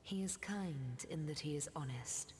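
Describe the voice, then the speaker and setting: An actress playing an ancient mystical seer in a fantasy game, speaking solemn, cryptic, archaic lines in a breathy voice that often sinks toward a whisper. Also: female voice, low-pitched, a little slow, a little mellow, a little hiss or hum. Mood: grave, tender. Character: ancient mystical female seer who weaves threads of time. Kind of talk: scripted fantasy game dialogue. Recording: long cavernous reverb.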